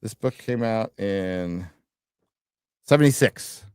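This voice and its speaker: A man co-hosting a casual podcast, reading articles aloud slowly and joking with the others. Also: lowish voice, expressive, a little mellow, clean tone, no echo, clean studio sound. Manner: reads aloud slowly, jokes and trails off. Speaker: co-host.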